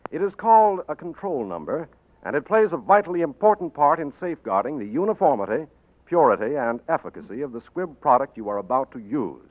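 A male announcer reading a sponsor message and sign-off in a measured, polished cadence. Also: on the high side, big pitch swings. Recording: tinny 1940s radio broadcast, faint music.

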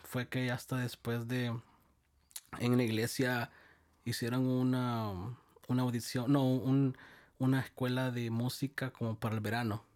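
A man's voice: mid-range voice, measured pace. Laid-back guy from el salvador, music school director, rambling through old memories. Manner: relaxed, rambling, many restarts. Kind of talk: casual interview storytelling.

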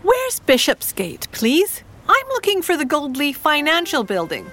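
Sylvia, high-voiced, genteel, earnest lady. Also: wildly swooping pitch, a little slow, bright, forceful tone, exaggerated voice. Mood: polite. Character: Sylvia, genteel, naive, soft-hearted lady, easily shocked. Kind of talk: audio drama acting.

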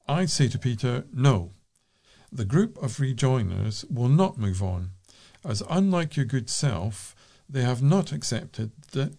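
A man reads readers' letters aloud for a local talking newspaper, with expressive emphasis and the occasional stumble. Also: medium pitch.

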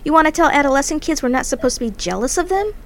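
A woman's voice: high voice, lively intonation, very fast. An actress playing a blunt, defiant, world-weary girl who speaks her mind plainly. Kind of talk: audio drama acting.

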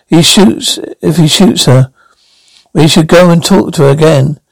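Gravelly man stumbling through a retelling. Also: fairly high pitch, measured pace, no room sound, low-quality recording. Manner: stumbling, many restarts. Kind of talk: retelling a story with voices.

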